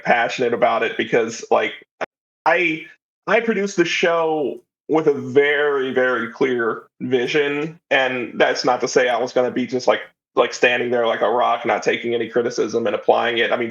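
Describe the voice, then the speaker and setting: A man's voice: mid-range voice, gravelly, fairly bright tone. A man speaking as an interview guest, casually and reflectively explaining his own creative work, on slightly dull audio.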